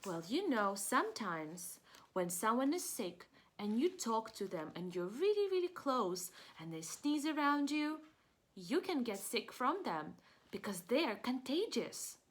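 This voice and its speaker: Slow-paced woman reading to preschoolers. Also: fairly high pitch. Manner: expressive, simple child-directed speech, asks questions and answers them. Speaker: preschool teacher. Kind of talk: children's storybook read-aloud.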